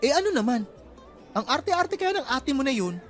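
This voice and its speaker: A man's voice: very high-pitched, sing-song, moderate pace, faint music behind. A man acting a flamboyant, cheeky young theater student in a radio drama, with a Filipino accent and a playful, effeminate delivery. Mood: sassy. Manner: campy, effeminate, swooping, playful.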